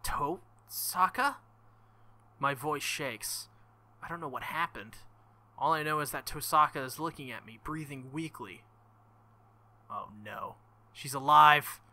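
A young man hosting a visual novel playthrough, reading narration and commenting in an excitable, joking, profane way. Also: a little high, a little slow, pauses often. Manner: excitable, swings to loud outbursts. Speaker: let's-play host.

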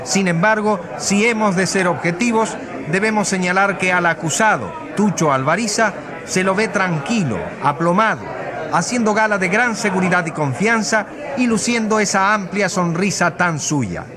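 An actor playing an earnest radio reporter giving suspenseful, articulate live commentary in a courtroom drama. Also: male voice, sits high, moderate pace, lightly acted. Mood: urgent, earnest. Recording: busy crowd behind the voice.

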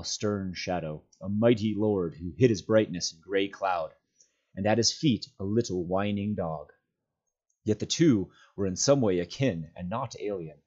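A man reading a novel aloud as a volunteer storyteller, with expressive, dramatic narration. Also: deep voice, dull audio. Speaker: volunteer community reader.

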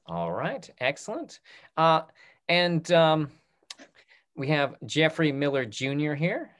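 A man in his thirties moderating a talk-back on a video call in a casual, halting way, often repeating his words. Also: fairly high pitch, very animated, a bit bright. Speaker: host of a theatre talk-back.

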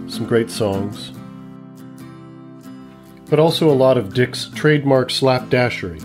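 A man delivers scripted comedy narration in a mid-range voice. He tells the story in the present tense, with music playing clearly behind him. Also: medium pitch.

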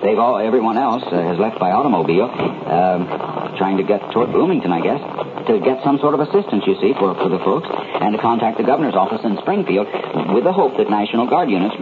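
An American man playing a shaken radio newsman in a 1970s radio drama, reporting a catastrophe haltingly and groping for words. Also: on the low side, expressive, lightly acted. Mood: bewildered, anxious. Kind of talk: 1970s radio drama as live emergency newscast. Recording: noisy, tinny vintage 1970s radio recording.

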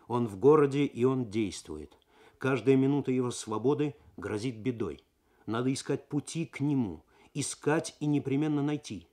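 A male narrator with a medium-pitched voice reads prose for 1970s radio. His delivery is actorly and expressive, building suspense in a literary style. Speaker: narrator, reader.

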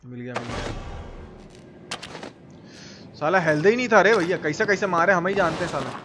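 An Indian man with a Bihari Hindi accent giving excitable, slangy live game commentary and often repeating himself. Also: fairly high pitch, moderate pace. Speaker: game streamer. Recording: clear game noise behind voice. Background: horror game sound effects and ambience.

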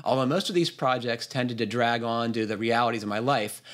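A man's voice: medium pitch, fairly even intonation, fairly quick. This is a man hosting a podcast from a room on a video call. His voice sits in the middle of the male range. He talks casually and reads written material aloud for listeners. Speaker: podcast host. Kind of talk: casual chat and reading a listener email aloud.

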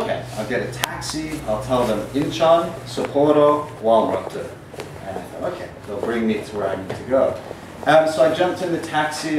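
Thirties male, American accent, casual lecturing poet, slightly low voice, distant mic with faint room noise. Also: very echoey. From Northern Ireland. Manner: rambling, frequent ums, self-deprecating humor. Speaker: poet giving a talk. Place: small gallery room, indoors.

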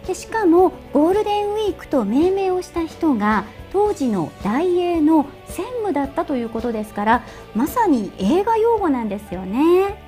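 A woman with a very high voice reads scripted television news. She articulates politely and clearly, with soft music faintly behind her. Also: sing-song, normal speed, few pauses. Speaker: news presenter. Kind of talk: scripted news presentation and narration. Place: TV studio.

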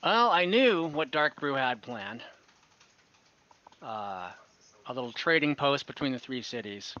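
A man's voice: mid-range voice, lively intonation, measured pace, pushed and bright. A young man voicing a friendly, businesslike port merchant who chats in an easygoing, shop-talking way. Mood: genial. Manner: chatty, easygoing, talks shop. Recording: dull lo-fi video call audio.

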